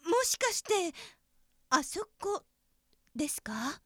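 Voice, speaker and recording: A woman's voice: very high voice, very animated, slow, sharp tone, no room sound, studio quality, heavily acted. A woman playing an anxious, earnest office worker in a comedic radio drama, with an exaggerated, plaintive delivery. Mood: surprised.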